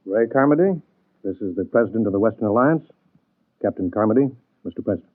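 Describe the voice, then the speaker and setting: Low, husky project chief. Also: male voice, animated, fast talker, no echo. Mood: earnest. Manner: conversational, matter-of-fact. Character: sober, matter-of-fact male chief of a government space project. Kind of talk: 1950s radio drama acting. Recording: dry, narrow-band 1950s radio broadcast.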